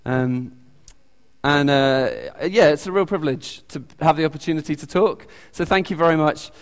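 A man with a British accent giving an informal sermon. He talks haltingly, with many ums and restarts. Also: fairly high pitch. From United Kingdom. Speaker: lay preacher. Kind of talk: informal sermon with audience questions. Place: church hall. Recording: long echoey church reverb.

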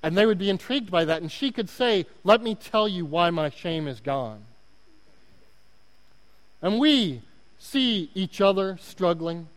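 A man preaching a sermon in a large sanctuary, his voice high and light. He tells the story slowly and deliberately, with pauses between phrases. A long reverb from the hall trails after his words. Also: expressive.

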